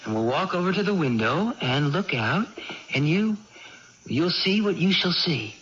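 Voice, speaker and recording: Gentle young brother, high lively voice. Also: male voice, a little slow. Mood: tender, coaxing. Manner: coaxing, tender, simple explanations. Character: gentle, devoted young brother, earnest and patient. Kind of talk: 1980s radio drama acting. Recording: muffled old radio broadcast, faint noise.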